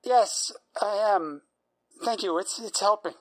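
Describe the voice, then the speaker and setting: A woman playing an exhausted, terrified insomniac patient in an audio drama, halting, anxious and pleading. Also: medium pitch. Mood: drowsy, calmed.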